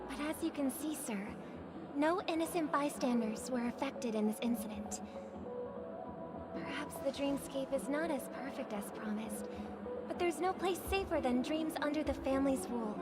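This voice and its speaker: Game casting: high female voice actress in role, courteous celebrity singer, measured and soothing, music playing prominently behind. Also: lightly acted. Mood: diplomatic, composed. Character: poised, courteous famous songstress soothing anxious guests. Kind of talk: video game voice acting.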